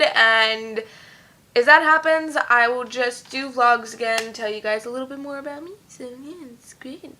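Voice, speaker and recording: Bright-voiced young woman, chatty vlog. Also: on the high side. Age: young adult. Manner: rambling, chatty, many fillers. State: slightly hoarse, losing her voice. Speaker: YouTube singer. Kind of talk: casual vlog chat. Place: at home.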